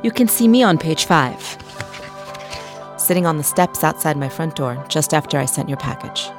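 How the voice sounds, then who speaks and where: A woman narrating an audio journey, speaking softly and directly to the listener. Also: deep voice, lively intonation, moderate pace. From English-speaking country. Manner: soft, gentle, addresses the listener directly. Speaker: audio tour guide. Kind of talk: scripted intimate narration. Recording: faint background music.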